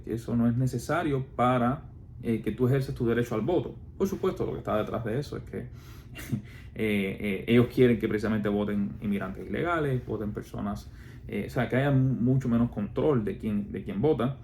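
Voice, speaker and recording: A man's voice: mid-range voice, brisk, darkish tone, slight background noise. A man from Cuba with a Cuban Spanish accent, explaining his views in casual talk, full of fillers, restarts and rhetorical questions.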